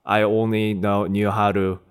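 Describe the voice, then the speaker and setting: Man in his thirties, born in Korea, low voice, smooth and clear tone, relaxed guest chat. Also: unhurried. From South Korea. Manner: relaxed, reflective, with frequent fillers. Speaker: interview guest. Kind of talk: casual conversation.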